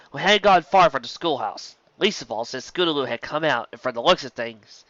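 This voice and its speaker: A woman's voice: low voice, fairly bright tone, no echo. A woman reading a story aloud as scripted narration, on a dull, dry home recording. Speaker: story reader. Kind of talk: scripted narration of fan fiction.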